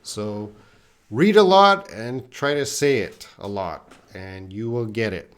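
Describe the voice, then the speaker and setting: Slow-paced middle-aged male English teacher. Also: on the low side, animated, clear, resonant tone. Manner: plain-spoken, addresses learners by name, repeats phrases for emphasis. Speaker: English teacher hosting a live class. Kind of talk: live-stream teaching, answering student comments.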